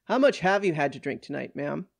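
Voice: mid-range voice, moderate pace, creaky, dry recording, close to an everyday voice. A narrator voicing a stern, old-fashioned male police officer, condescending and firm, in an audiobook story.

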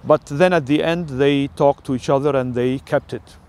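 An older man with an Italian accent, an Italian native speaker, talking through a rambling personal monologue with many filler sounds and pauses. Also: mid-range voice, fairly even intonation, darkish tone.

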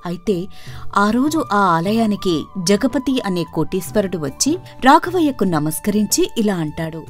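కథ చెప్పే మహిళ, వెనుక సంగీతం. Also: female voice, medium pitch, sing-song, measured pace. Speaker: narrator. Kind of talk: scripted storybook narration. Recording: music under the voice. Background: background music.

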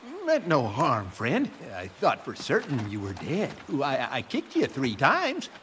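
A man's voice: medium pitch, huge pitch swings, a little slow, noisy background. A man playing a wily, folksy American horse trader and swindler in a western video game. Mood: folksy, sly. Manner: folksy, chatty, drawn to exclamations.

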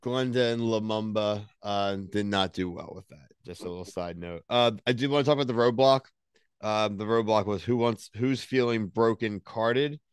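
A man's voice: fairly low pitch, moderate pace, clean tone, dry recording, clean studio sound. A young man co-hosting a reality TV review show, steering the talk with jokes from a home setup. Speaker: co-host of a reality TV review podcast. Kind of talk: casual chat. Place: home room. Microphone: desk mic on a boom.

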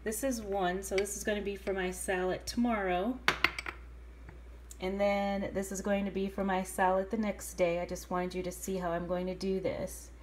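A woman's voice: mid-range voice, flat delivery, moderate pace. A woman casually explaining her meal prep step by step in her home kitchen, recorded on a handheld phone. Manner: chatty, explains step by step with fillers. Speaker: home cook vlogger. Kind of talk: casual cooking narration. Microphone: handheld phone camera. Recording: faint kitchen noise, sizzling pan.

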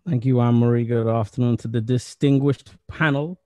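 A man in his thirties from Trinidad, speaking on a video call with earphones, earnest and halting with repeated words. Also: mid-range voice, fairly even intonation, a little mellow, no echo. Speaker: musician and associate professor. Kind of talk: panel talk.

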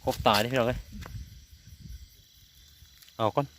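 A man's voice: medium pitch, measured pace, fairly bright tone. A man with a northeastern Thai Isan accent giving casual outdoor vlog commentary, often addressing his viewers directly as he shows things. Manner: repeatedly addresses viewers while showing the catch. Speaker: vlogger hunting frogs. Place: outdoors in the countryside at night. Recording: clear outdoor noise, insects and crickets.

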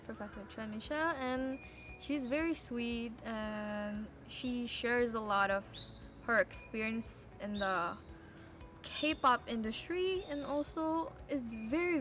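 A woman's voice: fairly high pitch, a bit bright. A young woman from Indonesia, a university student, talking in a friendly, straightforward way. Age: young adult. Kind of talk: interview answers to camera. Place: outdoor campus steps.

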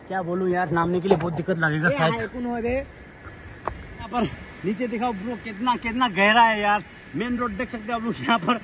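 A man's voice: high voice, background noise. A young man in his twenties chatting casually into his phone camera, narrating as he climbs a rocky hilltop outdoors. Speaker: vlogger.

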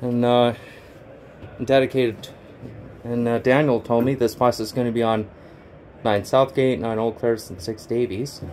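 A Canadian man filming a casual tour of buses on display, excited and halting, rattling off model details with restarts. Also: medium pitch, a little hiss or hum. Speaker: transit vlogger. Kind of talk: casual touring vlog. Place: bus display in a convention centre, indoors.

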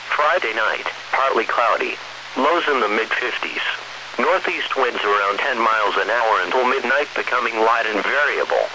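A man's automated voice reading scripted weather forecasts in a measured, impersonal way over weather radio. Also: medium pitch. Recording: noisy hissy weather radio broadcast.

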